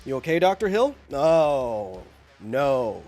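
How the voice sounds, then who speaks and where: A man's voice: medium pitch, sing-song, slow, a little mellow, soft background music. A man live-streaming a game, chatting to his viewers in a rambling, jokey way while getting over bronchitis, a little congested. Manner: rambling, jokey, talks to chat.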